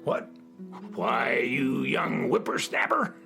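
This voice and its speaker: A woman voicing a grumpy, scolding old male sun in a children's radio play, creaky and indignant. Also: a bass voice, sing-song, slow, over music, heavily acted.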